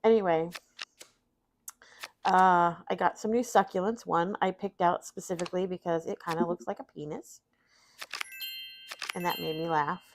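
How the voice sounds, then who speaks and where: Gravelly, sniffly middle-aged woman rambling. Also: fairly low pitch, steady tone, normal speed, long pauses, slight background noise. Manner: rambling, self-deprecating, talks to chat. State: sniffly, tired after vaccines. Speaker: streamer. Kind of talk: casual chat while playing solitaire.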